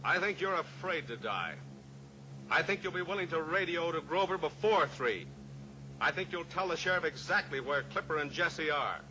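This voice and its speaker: An actor playing a calm, steely cowboy pilot hero who coolly taunts his captor, in a 1950s radio drama. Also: fairly high pitch, normal speed, natural voice. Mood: coolly taunting. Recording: old radio recording with hiss.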